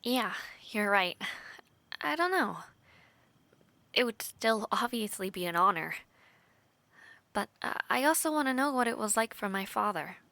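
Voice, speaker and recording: Woman as earnest young female knight trainee, affectionate, hushed and halting, slow delivery, edge of vocal fry. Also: mid-range voice, expressive, no echo. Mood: wistful. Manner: soft, intimate, often whispery with light laughs and hesitant restarts. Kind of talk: intimate audio roleplay.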